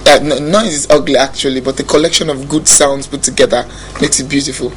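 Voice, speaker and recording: A man's voice: medium pitch, steady tone, fast talker, slight background noise, low-quality recording. A young Nigerian man with a Nigerian accent, a musician talking casually in an interview, reflective, often restarting his sentences. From Nigeria (Bayelsa State). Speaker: musician guest.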